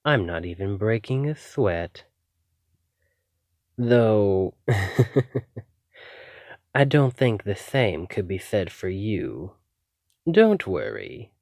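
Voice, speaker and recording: A man playing an obsessive, hungry, menacing male vampire in an ASMR roleplay, speaking intimately and deliberately with dramatic pauses. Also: fairly low pitch, gravelly, a little mellow, no echo, clean studio sound, exaggerated voice. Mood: smug.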